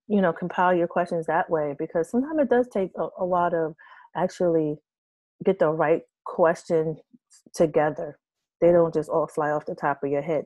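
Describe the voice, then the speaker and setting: A woman in her thirties, hoarse from losing her voice, casually explaining an online tutorial with many fillers and restarts. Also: on the low side, mellow, dry recording, poor audio. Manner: rambling, hesitant, many fillers. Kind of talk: casual screen-share tutorial. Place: small room with red curtains, indoors.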